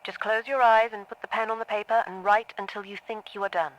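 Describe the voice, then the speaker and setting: An actress playing a composed, clinical female therapist in an audio drama, speaking in a measured and neutral way. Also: medium pitch, steady tone, a bit bright, lo-fi sound, close to an everyday voice. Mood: calm, instructing.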